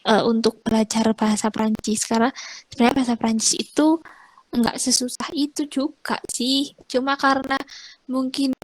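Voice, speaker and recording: A woman's voice: on the high side, flat delivery, normal speed, no room sound, low-quality recording. A woman, teaching casually and reassuring students in a rambling way. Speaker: French teacher.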